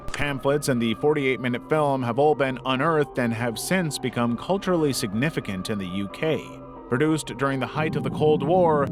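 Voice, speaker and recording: A man narrating a scripted documentary-style piece in a measured, serious way. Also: medium pitch. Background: background music.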